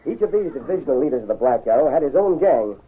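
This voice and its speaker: Animated, husky western posse man. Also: medium pitch. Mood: explaining. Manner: brisk, animated. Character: excitable, plain-spoken western posse member. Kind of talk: old-time radio western acting. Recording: tinny old radio broadcast.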